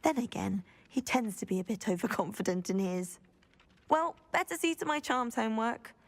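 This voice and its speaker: Game role: forthright teen witch, friendly and modest, slightly high voice. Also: lively intonation, normal speed. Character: friendly, forthright teenage schoolgirl, modest about studies. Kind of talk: video game dialogue acting.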